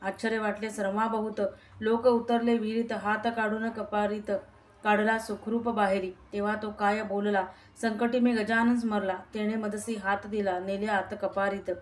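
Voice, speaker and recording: A woman reciting a long devotional verse story in a steady, sing-song recitation. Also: medium pitch, moderate pace.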